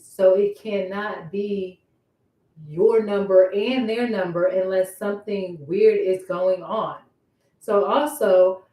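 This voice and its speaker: Woman, casual vlog talk, soft-edged mellow voice, repetitive questioning delivery, distant microphone. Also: mid-range voice. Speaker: vlogger. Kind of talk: casual personal vlog monologue. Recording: roomy echo, distant microphone.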